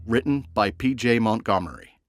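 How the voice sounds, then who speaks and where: A man's voice: fairly low pitch, moderate pace. A man giving a scripted title announcement for an audio drama episode. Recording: music behind voice.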